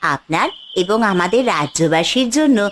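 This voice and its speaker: Formal, deferential palace maid. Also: female voice, on the low side, very animated, normal speed, a little hiss or hum. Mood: joyful, respectful. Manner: formal, respectful. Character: deferential palace maid bearing good news. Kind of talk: Bengali fairy-tale cartoon acting.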